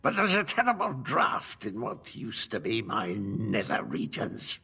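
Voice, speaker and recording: A man voicing an undead severed head of a mad, boastful knight in a video game, gravelly, theatrical and mock-polite. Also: on the low side, big pitch swings, measured pace, bright, forceful tone, faint music behind, heavily acted. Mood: mock-polite, morbid. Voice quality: gravelly, raspy. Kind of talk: video game character acting.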